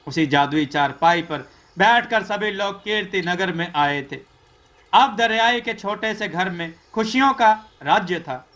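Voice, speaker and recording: A man's voice: high-pitched, fairly bright tone. A man reading a scripted folk tale as a storyteller.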